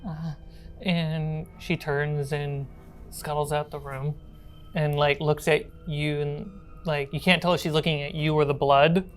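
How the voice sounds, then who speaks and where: A woman's voice: low voice, level tone, unhurried, soft background music. A woman acting as game master, narrating a tabletop roleplaying game in an improvised, conversational way.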